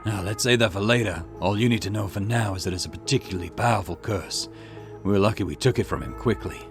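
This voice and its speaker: Brief: gruff, steady male police boss for a visual novel, acted by a male player, low-pitched, music underneath. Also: fast talker, lightly acted. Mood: grave, calm. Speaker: let's player voicing game dialogue. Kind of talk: visual novel dialogue read aloud.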